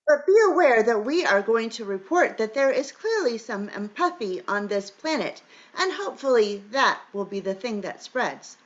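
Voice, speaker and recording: A woman's voice: a little high, big pitch swings, moderate pace, lo-fi sound. A woman voicing an earnest, formal alien visitor.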